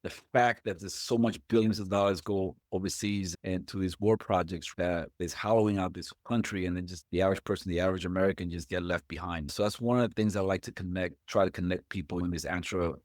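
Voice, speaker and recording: A middle-aged man from Puerto Rico, a podcast host, talking in a halting way and repeating words as he thinks. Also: deep voice, steady tone, no echo, studio quality. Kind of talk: conversational interview.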